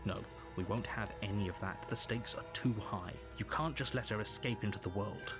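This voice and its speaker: A man performs a stern British narrator telling a dark fantasy tale in a visual novel. The voice is on the low side, measured and solemn, giving orders with authority. Music plays clearly underneath. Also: natural voice.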